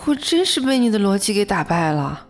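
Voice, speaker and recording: A woman's voice: mid-range voice, very animated, brisk, close to an everyday voice. An actress playing a modest young female office worker in an audio drama, in first-person narration and dialogue. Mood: flustered, exasperated. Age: young adult. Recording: music bed behind the voice.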